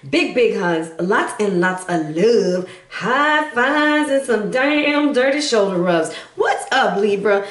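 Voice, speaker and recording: Sassy woman with a roomy echo. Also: fairly high pitch. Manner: sassy, chatty, playful. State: hoarse, recovering from bronchitis. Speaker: tarot reader. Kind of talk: casual tarot forecast. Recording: echoey room.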